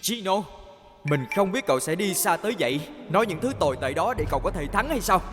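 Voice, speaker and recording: A man's voice: sits high, lively intonation, quick pace, music in the background. A man playing a proud, easily indignant boy racer in a cartoon dub, earnest and argumentative. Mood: defiant.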